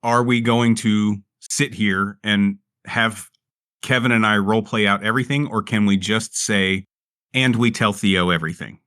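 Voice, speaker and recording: Low guy doing casual tabletop roleplay, dry close sound, kinda earnest and sweary. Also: steady tone, normal speed, pushed and bright, no room sound.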